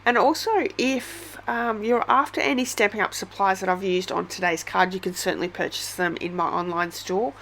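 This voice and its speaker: A woman's voice: medium pitch, fairly even intonation, moderate pace, gravelly, hardly any pauses, a bit bright, a little hiss or hum. An Australian woman with a head cold, chatting through a casual step-by-step craft tutorial with many ums.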